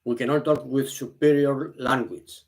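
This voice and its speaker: A man whose first language is Greek, speaking English slowly and earnestly to the camera. He often halts, repeats himself and restarts his phrases. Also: mid-range voice, fairly even intonation, gravelly, a little mellow. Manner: halting, repeats and restarts phrases, non-native grammar. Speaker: vlogger. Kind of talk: slow, earnest monologue to camera.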